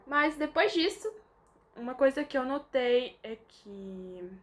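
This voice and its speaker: A young woman with a Brazilian Portuguese accent talks to her camera in a loose, chatty way, full of fillers and asides. Her voice sits a little high for a woman. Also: animated, slow pace. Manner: chatty, informal, lots of fillers, reads from a script. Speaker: vlogger. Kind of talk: casual chat to camera.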